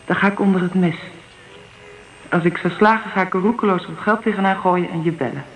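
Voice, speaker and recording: A woman's voice: lowish voice, a little fast, natural voice, poor audio. An actress playing an earnest, candid young divorced mother in a Dutch radio drama. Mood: wistful.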